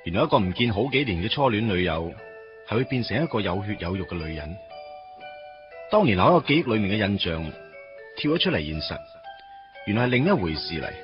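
Wistful young man, Hong Kong Cantonese, low and lively, wry, radio drama, muffled with faint music. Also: a little fast, pauses often. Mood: wistful, reflective. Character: wistful, teasing young man reuniting with his first love. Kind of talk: radio drama acting with narrated inner monologue.

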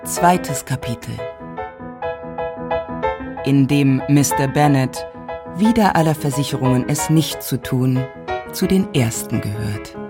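A woman's voice: a bass voice, slow pace, pauses often. A woman reading chapter announcements as scripted narration in a radio drama. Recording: loud music under the voice.

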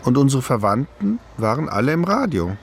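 Creaky-voiced older man, lively intonation. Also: medium pitch, fairly quick. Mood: reflective. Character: older man recalling a childhood among survivors' families. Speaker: eyewitness narrator in a radio documentary drama. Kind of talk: reflective first-person recollection, German radio play.

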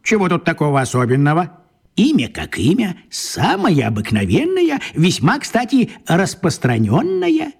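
An actor playing a small, sly, teasing old man with a creaky, husky voice and a drawling, playful way with words in a radio drama. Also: sits high, wildly swooping pitch, normal speed, darkish tone, heavily acted. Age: older.

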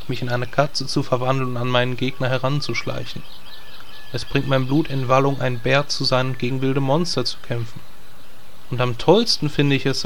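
A man voices a proud and sulky druid from a fantasy game parody. The voice sits at a middle pitch and sounds defiant and put-upon. There is faint noise in the recording. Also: a bit bright, natural voice. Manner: defiant, grumbling. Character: proud, sulky male druid gamer in a guild. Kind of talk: German audio drama parody.

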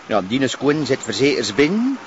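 An actor playing a bored policeman on surveillance in a TV crime drama, deadpan and complaining, with a Flemish accent. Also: medium pitch, moderate pace, lightly acted. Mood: grumbling. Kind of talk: naturalistic TV crime drama acting. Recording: muffled sound with faint noise.

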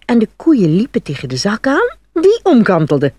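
A woman narrating a scripted fairy tale for children in an expressive, dramatic read-aloud style with a slightly husky voice. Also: a little high, moderate pace, no room sound. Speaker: storyteller.

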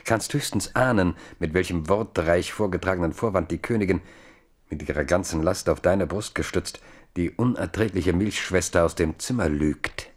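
A man with a deep voice narrates a radio drama. His delivery is scripted literary storytelling. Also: normal speed.

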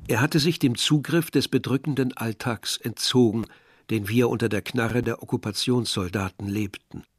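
An actor playing a reflective village man, narrating a literary radio play in a measured, bitter tone. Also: medium pitch, slightly rough, close to an everyday voice. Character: reflective Algerian village man recalling war and dead brother. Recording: dry studio, faint music behind.

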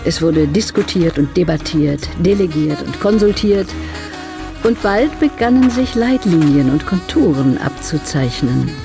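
A woman playing a cool, mocking traitor in a radio play, speaking in a condescending, deliberate, formal way. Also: deep voice, lively intonation, gravelly, over music, exaggerated voice. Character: cool, mocking female traitor toying with her captive.

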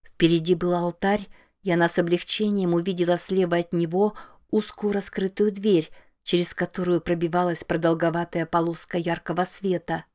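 A woman reading a novel aloud as an audiobook narrator, in a calm, measured way. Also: lowish voice.

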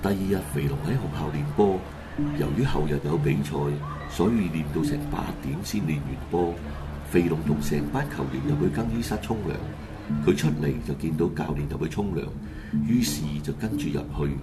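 Deep voiced hong kong cantonese guy narrating a radio play, really animated, loud music under him. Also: fairly quick. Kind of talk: dramatic scripted storytelling in colloquial Cantonese.

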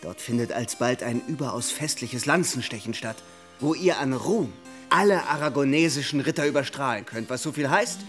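An actor playing a young, big-mouthed bachelor in a radio drama, flattering with mock reverence and grand words. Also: male voice, mid-range voice, moderate pace, soft background music, close to an everyday voice. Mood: enthusiastic. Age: young adult.

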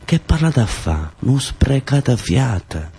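A man voicing a gruff, bossy old fisherman grandfather with a southern Italian accent, giving curt, scolding commands in dialect. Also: medium pitch, sing-song, slow, mellow, pitched above the performer's own voice, full character voice. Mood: stern.

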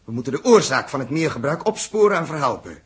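An actor playing a composed, practical spaceship captain in a 1960s radio drama, with a husky voice. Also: male voice, a little high, sing-song, fast talker, exaggerated voice. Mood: firm, commanding. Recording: 1960s radio play recording.

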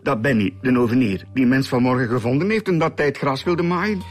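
An actor playing a flustered, defensive widower of seventy in a Flemish radio drama, halting and repeating himself. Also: male voice, mid-range voice, close to an everyday voice. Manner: halting, repetitive, protesting. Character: flustered seventy-year-old retired widower, defensive homeowner.

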